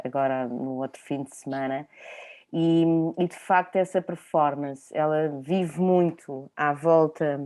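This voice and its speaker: A woman with a Portuguese accent speaks in a low-pitched voice as part of a panel discussion. Her delivery is spontaneous and reflective. She often halts to search for a word and rounds off points with short tag questions. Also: a little mellow. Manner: halting, searching for words, checks with tag questions.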